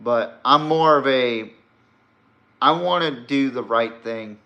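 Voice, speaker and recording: Guy doing casual podcast rants, slow talker but punchy bright voice, blunt and kinda rambly. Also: medium pitch, dull audio. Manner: rambling, blunt, profane, emphatic. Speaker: sports podcast host. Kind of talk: casual opinionated sports and politics commentary.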